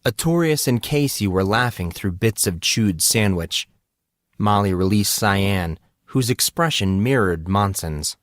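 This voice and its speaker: A man narrates a fantasy story in a fairly low voice. His delivery is scripted, measured and expressive. The sound is dry, with hardly any room on it. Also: no echo.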